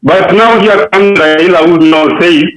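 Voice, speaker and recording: A man's voice: high-pitched, a bit bright. Kenyan male caller, argumentative, echoey speakerphone sound. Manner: argumentative, rapid bursts, frequent fillers. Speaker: radio caller. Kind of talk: call-in opinion.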